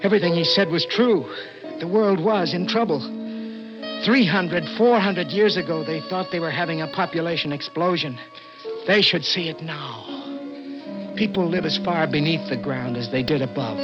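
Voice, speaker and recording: Guy acting as haunted condemned poet, american, high male voice, earnest, dull vintage radio sound. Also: expressive, normal speed, lightly acted. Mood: haunted, reflective. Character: desperate condemned poet clerk, gentle dreamer. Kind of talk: 1960s radio drama acting with first-person narration. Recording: dull old radio recording with music.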